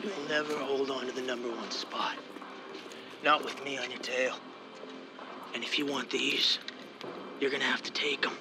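An actor playing a cocky young street racer villain in a video game, with a smug, menacing swagger. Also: male voice, mid-range voice, fairly even intonation, normal speed, long pauses, lightly acted. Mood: taunting. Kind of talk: video game cutscene acting. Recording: loud music over the voice.

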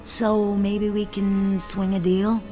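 A woman's voice: mid-range voice, little pitch movement, takes it slowly, warm tone, close to an everyday voice. A woman playing a nervy, streetwise shuttle pilot in a video game, talking casually with stammers and looking out for herself. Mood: guarded bargaining. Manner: casual, stammering, self-protective. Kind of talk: video game cutscene dialogue. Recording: long hall reverb, faint game music.

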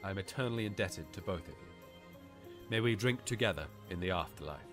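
Guy doing a stately weary king, deep voice, audiobook drama with music under. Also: animated, fairly quick. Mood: solemn, grateful. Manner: formal, stately. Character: weary, solemn, grateful warrior king.

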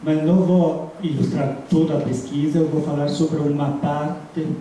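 A middle-aged man with an Italian accent lecturing haltingly in a language not his own, searching for words. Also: on the high side, fairly even intonation, warm tone. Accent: foreign, Italian. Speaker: guest academic lecturer. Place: large dim seminar room. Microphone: handheld microphone into room speakers, recorded by distant camera. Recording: echoey room, distant mic, background noise.

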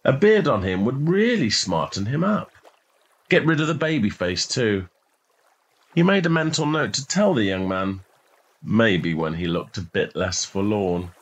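Male story narrator, lower-pitched voice, measured delivery of literary prose. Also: fairly bright tone. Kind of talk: scripted short story narration.

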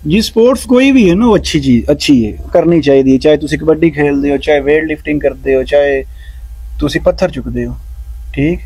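A man's voice: a little high, animated, brisk, darkish tone, breathy edge, no echo, poor audio. A man, a stone-lifting champion and coach, answering interview questions earnestly, recorded outdoors on a lawn at night. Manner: earnest, peppers speech with "theek hai".

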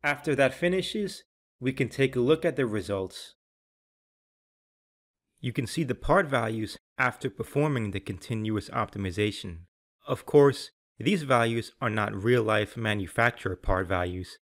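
A man reading scripted instructional narration in a measured, clearly enunciated, explanatory way. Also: mid-range voice, a bit bright. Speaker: software tutorial narrator.

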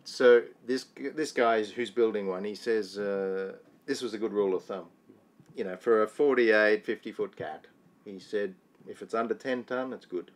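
An Australian man in his thirties with a fairly low voice talks casually. He keeps it laconic, speaking in short, matter-of-fact phrases. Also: moderate pace. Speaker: boat owner and vlog host. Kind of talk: casual chat. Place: on a catamaran at anchor, cabin and open deck.

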